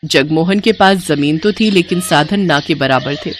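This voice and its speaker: A woman's voice: deep voice, moderate pace, slight background noise. A woman narrating a scripted story as a storyteller.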